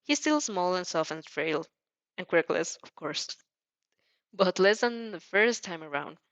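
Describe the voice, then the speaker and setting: A woman reading a story aloud as an expressive amateur narrator, sometimes stumbling and rereading phrases. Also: on the low side, a little slow, no echo. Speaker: fan fiction narrator.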